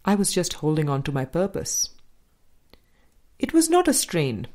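Slow-paced woman reading a book aloud. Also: deep voice, huge pitch swings, a little mellow. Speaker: audiobook narrator.

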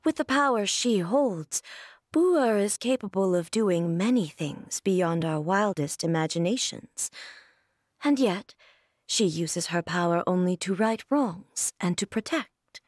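An actress playing a composed, stern female warrior god in a game, speaking in a calm, measured, reserved way. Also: mid-range voice, no room sound, clean studio sound. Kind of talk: game character voice line.

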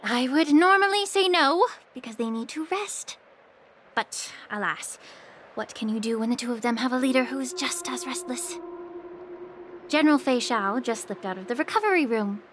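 A woman playing a shrewd, poised healer in an anime game, polished and gently commanding. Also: high-pitched, normal speed, a little hiss or hum. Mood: wry.

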